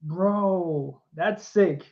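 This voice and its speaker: A man's voice: high voice, very slow. Upbeat man coaching beginners, lo-fi. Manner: energetic, coaching tone, says "bro" often. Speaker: host. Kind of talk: casual interview and advice.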